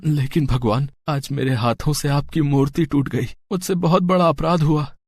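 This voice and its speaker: A man voicing a humble, poor grown man in an animated story, with a warm and expressive delivery. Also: on the high side, normal speed, no room sound, studio quality. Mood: remorseful. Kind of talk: cartoon story voice acting.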